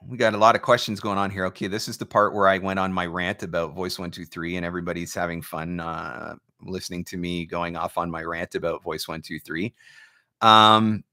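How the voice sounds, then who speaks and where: A man's voice: sits low, fairly even intonation, brisk, bright, forceful tone. A man hosting a live question-and-answer advice talk, speaking emphatically like a coach and posing rhetorical questions. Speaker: host, voice-over marketing coach.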